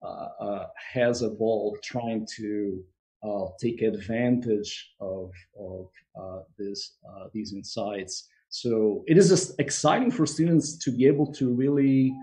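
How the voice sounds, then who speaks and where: Mellow-voiced man, hesitant. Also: fairly low pitch, slow, poor audio. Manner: hesitant, frequent fillers. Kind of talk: conversational interview. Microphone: video call.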